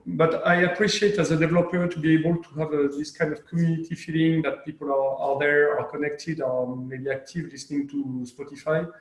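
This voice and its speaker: A developer with a cold on a video call, speaking haltingly with many pauses and fillers. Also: male voice, fairly high pitch, a little mellow, echoey room, low-quality recording. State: has a cold. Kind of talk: casual meeting discussion.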